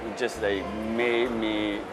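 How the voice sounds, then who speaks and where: A man, a cinematographer, speaking with halting enthusiasm about the film that inspired him. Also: fairly low pitch, flat delivery, heavy background noise. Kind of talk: interview testimony.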